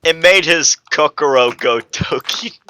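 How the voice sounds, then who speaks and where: A man's voice: on the high side, a little slow, heavy background noise. A man giving a comedic dramatic reading of fan fiction as narrator, stumbling and correcting himself.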